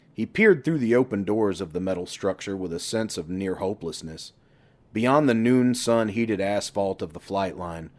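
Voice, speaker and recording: A man's voice: sits low, a little mellow. A man reading a horror novel aloud as scripted audiobook narration.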